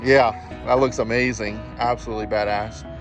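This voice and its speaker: A man's voice: mid-range voice, steady tone, moderate pace, over music. A man talking casually to his viewers as a car vlog host, rambling and enthusiastic, recorded on a phone. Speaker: channel host. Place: in a car, later outdoor parking lot. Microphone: phone camera mic.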